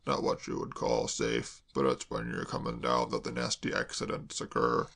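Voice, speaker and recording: A man voicing a mournful, doom-laden male servant, speaking slowly and heavily. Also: creaky, fairly bright tone, full character voice. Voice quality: deep, slow, heavy. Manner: mournful, dwells on disasters. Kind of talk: audiobook character voice.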